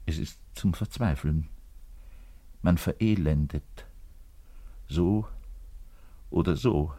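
An actor playing a neurotic, frustrated middle-aged double bassist who bares his self-loathing in a radio-play monologue. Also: slow pace, husky, no room sound, full character voice. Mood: despairing. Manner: volatile, swinging between muttered confession and loud outbursts. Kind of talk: dramatic monologue radio play, confiding to the listener.

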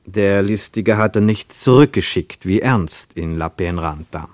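A man reading scripted literary narration for a radio play in a measured, precise and expressive storytelling manner. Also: sits low, no room sound.

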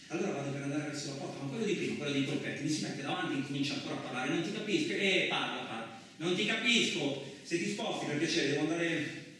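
A bewildered, rough-spoken truck driver tells his ordeal in a radio drama. His fairly high voice is halting and colloquial, given to repeating itself. It comes through very echoey and from a distance. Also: lively intonation, pushed and bright. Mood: confused, exasperated. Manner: halting, repetitive, colloquial, with agitated outbursts. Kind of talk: theatrical dramatic monologue for radio. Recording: very echoey, distant, clearly noisy recording.

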